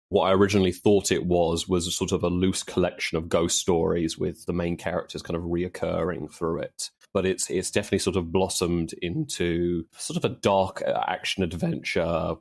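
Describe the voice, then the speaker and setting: A man's voice: low-pitched, darkish tone. A man speaking casually as an interview guest, with wry humour and a habit of repeating words as he thinks. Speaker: podcast guest, audio drama producer.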